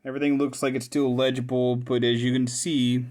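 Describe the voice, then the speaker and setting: Male hobbyist repairer, medium pitch, casual and unscripted, talks through what he is handling in short halting bursts. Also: flat delivery, darkish tone. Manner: informal, thinking aloud in short halting phrases. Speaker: hobbyist repairer presenting his own video. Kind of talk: casual hands-on show-and-tell commentary.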